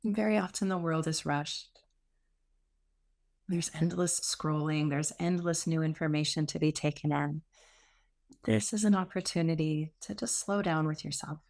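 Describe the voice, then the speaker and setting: A woman teaching meditation, giving gentle, soothing spoken instruction with unforced phrasing and long pauses. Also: low voice, creaky.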